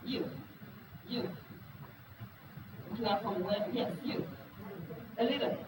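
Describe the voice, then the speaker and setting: A woman's voice: on the high side, takes it slowly. Woman. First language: Wolof. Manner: frank and earnest, asks the audience questions. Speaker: speaker at a business talk. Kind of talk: presentation to a live audience. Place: meeting room with an audience. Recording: distant, echoey room recording with noise.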